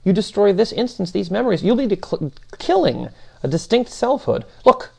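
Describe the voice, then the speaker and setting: Man as insistent female philosopher, creaky voice. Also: high-pitched, big pitch swings, normal speed, voice pushed up, heavily acted. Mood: pleading defiance. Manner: insistent, argumentative. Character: defiant, argumentative female digital philosopher, quick-witted and insistent.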